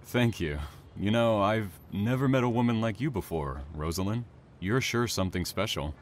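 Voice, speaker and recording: An actor playing a calm, courteous, quietly intense young man in an audio drama, speaking in a measured, understated way. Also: on the low side, faint music behind, lightly acted. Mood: charmed, gentle. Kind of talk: naturalistic audio drama acting.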